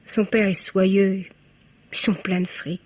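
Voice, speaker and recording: An actress playing a frank, cheeky young student daughter in a 1950s French radio mystery. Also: female voice, mid-range voice, level tone, slow pace, a little mellow, a little hiss or hum, lightly acted. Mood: chatty, amused. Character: brash, wry young student daughter, freshly jilted. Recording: dull vintage radio recording.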